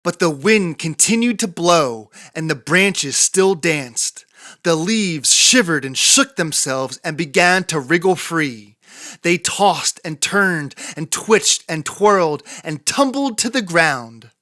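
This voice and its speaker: A woman narrating a children's picture book in an expressive read-aloud style with dramatic emphasis. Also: lowish voice, slow pace, dry recording, studio quality. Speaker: children's story reader.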